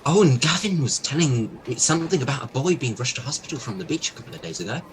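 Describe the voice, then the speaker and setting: A man plays a hesitant, chatty local in an audio drama. His pitch is lively and his delivery halting and informal, with faint noise behind. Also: lightly acted. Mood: hesitant, helpful.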